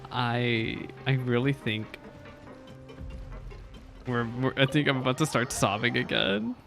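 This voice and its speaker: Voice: medium pitch, a little slow, creaky, over music. A streamer giving casual, off-the-cuff reactions while playing a story game.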